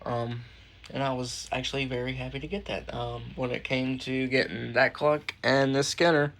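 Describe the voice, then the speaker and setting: A man's voice: mid-range voice, little pitch movement, normal speed, fairly bright tone, a little hiss or hum. A man giving a casual, rambling show-and-tell as a hobbyist host, muttering asides and swearing mildly. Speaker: radio collector and host. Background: weather radios playing.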